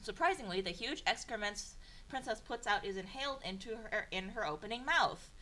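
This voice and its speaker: A young woman reading broken fan fiction aloud for comedy, in a deadpan voice, stumbling over garbled phrases and breaking off for exasperated sarcastic asides. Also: medium pitch, a little fast, a little hiss or hum, rough recording. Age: young adult. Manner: stumbles and self-corrects over garbled sentences.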